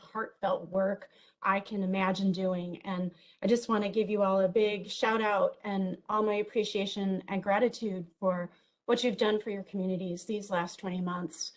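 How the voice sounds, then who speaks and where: A woman with a big voice giving an enthusiastic virtual conference keynote, lively and peppered with ums and small jokes. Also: mid-range voice, moderate pace, poor audio. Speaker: keynote speaker.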